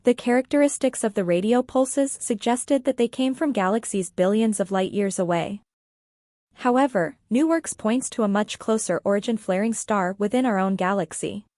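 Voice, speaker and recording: A woman's voice: mid-range voice, moderate pace, a little mellow, no echo, studio quality. A woman giving a scripted read-aloud of a practice passage.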